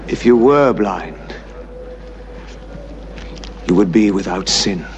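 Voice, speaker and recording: A solemn religious teacher in a period film, with a very deep voice and an unhurried, measured delivery. The film soundtrack has a clear layer of noise running under him. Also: male voice, lively intonation, highs cut off. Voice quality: slow, measured, grave.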